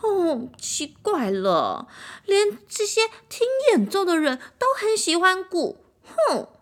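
A woman's voice: extremely high pitch, animated, slow, sharp tone, voice pushed up, heavily acted. A woman voicing a haughty, bossy talking violin. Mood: jealous. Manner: haughty, whiny and demanding. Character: proud bossy jealous violin, male.

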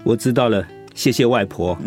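A man playing an earnest, grateful recovering patient in a radio drama, speaking in a reflective, measured way. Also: medium pitch, a little mellow, faint music behind, close to an everyday voice.

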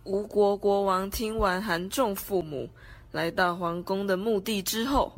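A woman's voice: medium pitch, fairly even intonation, measured pace. A teenage girl narrating a scripted story for a student radio drama. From Taiwan. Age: teens.

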